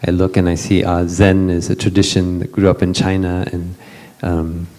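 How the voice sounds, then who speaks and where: A man's voice: deep voice, monotone, moderate pace, a little mellow. A middle-aged Western man introducing a guest to a retreat gathering, hesitant, with many fillers and restarts. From Western country. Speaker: retreat panel moderator.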